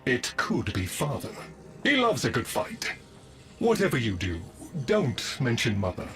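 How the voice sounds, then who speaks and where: An actor playing an earnest young son of a strange noble family in a video game, with florid, dramatic phrasing. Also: male voice, medium pitch, wildly swooping pitch, unhurried. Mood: eager, cautioning. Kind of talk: theatrical video game acting. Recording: loud music under the voice.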